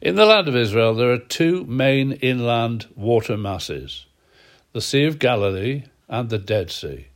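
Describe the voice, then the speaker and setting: A man with a cold giving a short devotional Bible talk, earnest and measured, his phrases sinking low at the ends. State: has a cold. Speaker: preacher.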